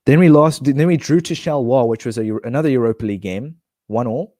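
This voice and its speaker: A man's voice: mid-range voice, fast, warm tone, no echo, clean studio sound. A man streaming a football manager game, talking casually and rambling with restarts, his nose blocked. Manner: chatty, rambling, many restarts and fillers. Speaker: gaming streamer.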